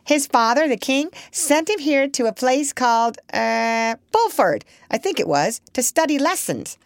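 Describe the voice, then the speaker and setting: A woman's voice: high-pitched, unhurried, dry recording, studio quality, pitched above the performer's own voice, exaggerated voice. A woman doing a chattering gossipy old parrot. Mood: excited. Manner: excitable, talkative, breathless gossip.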